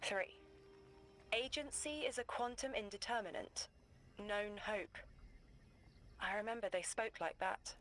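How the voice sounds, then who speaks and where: An actress playing a cryptic female seer who speaks in slow, trance-like visions. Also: mid-range voice, a bit bright. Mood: calm cryptic.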